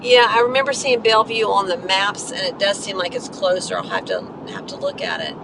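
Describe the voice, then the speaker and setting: A hoarse middle-aged woman chatting casually with her viewers during a live stream while driving. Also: mid-range voice, animated, fairly quick. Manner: chatty, coughing between remarks. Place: inside a moving car. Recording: road noise from a moving car.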